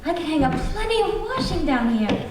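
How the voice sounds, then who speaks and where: A woman acts out a timid, polite job seeker in a radio thriller. Her high voice is hesitant and sounds distant from the microphone, echoing strongly in a theater. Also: sing-song, fairly quick. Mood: polite, eager. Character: timid, polite young woman seeking work, uneasy. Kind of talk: stage performance of golden-age radio thriller. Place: theater stage, live performance. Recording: roomy theater, far from mic, faint noise.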